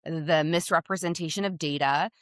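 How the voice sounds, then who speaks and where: A woman's voice: low voice, normal speed, no echo, clean studio sound. A woman with a slightly scratchy voice, a hormone health provider who explains things openly and with confidence in a podcast interview.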